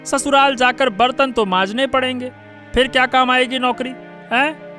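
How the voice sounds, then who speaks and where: A man plays a harsh village father in a cartoon. His voice is very high-pitched and sharp, blunt and scolding, over faint music. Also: fairly even intonation, normal speed. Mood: dismissive, irritated. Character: harsh, dismissive, hard-drinking village father. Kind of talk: Hindi cartoon story voice acting.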